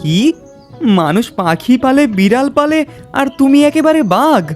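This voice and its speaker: A woman plays a young cartoon shepherd lad, earnest and brave. The voice is fairly high, with faint music and birdsong behind. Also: sing-song, normal speed. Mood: baffled bantering. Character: brave, lovestruck young shepherd boy with a flute. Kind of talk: animated fairy tale dialogue.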